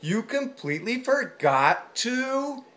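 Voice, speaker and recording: A cheeky little storybook mouse, voiced by a woman, teasing the elephant with a slow, mischievous drawl that rises and dips. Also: a little high, sing-song, a bit bright, full character voice.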